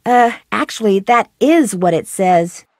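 A woman's voice: medium pitch, expressive, measured pace, a little mellow, no room sound, studio quality. A young woman playing a polite, inquisitive detective in a game. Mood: curious. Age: young adult. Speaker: player character in a game.

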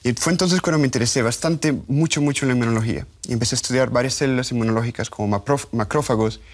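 Man with a half-American Honduran accent. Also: medium pitch. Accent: Honduran Spanish with American English. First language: English. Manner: halting, restarts words, gestures while talking. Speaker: scientist guest. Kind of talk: studio interview. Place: TV studio. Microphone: lapel.

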